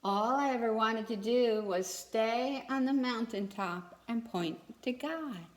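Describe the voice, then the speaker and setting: A woman's voice: on the high side, slow pace. A woman voicing a proud, wistful tree from a children's storybook, earnest and declamatory. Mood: bewildered, wistful. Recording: echoey room.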